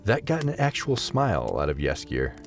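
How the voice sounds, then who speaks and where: Male narrator, deep and very varied in pitch, expressive scripted storytelling over background music. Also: normal speed.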